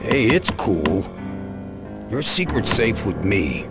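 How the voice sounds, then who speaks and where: An actor playing a jumpy small-time crook who talks in casual slang. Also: male voice, fairly low pitch, very animated, slow. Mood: wary, casual. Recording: loud music behind, game audio.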